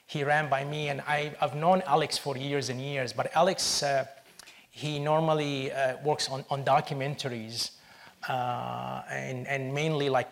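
Gravelly man, halting and earnest. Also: mid-range voice. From Syria. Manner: halting, many restarts and fillers, earnest. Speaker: film producer. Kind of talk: panel interview answer.

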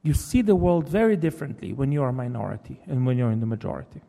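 Halting, thoughtful man speaking in a hall. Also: mid-range voice, vocal fry, warm tone. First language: Hebrew. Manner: thoughtful, halting, with many restarts. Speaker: novelist giving a talk. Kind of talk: reflective public talk. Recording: long reverb of a hall.

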